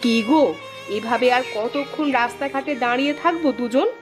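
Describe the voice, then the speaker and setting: A woman's voice: sits high, fairly quick, lightly acted. A woman voicing a weary, homeless village wife in a moral-tale cartoon, plaintive and nagging. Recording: music with strings behind the voice.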